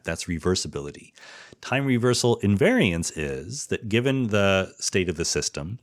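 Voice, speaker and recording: A man hosting a podcast, answering listener questions in an informal, explanatory way and correcting himself as he goes. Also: on the low side. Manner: informal, didactic, self-correcting. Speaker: podcast host.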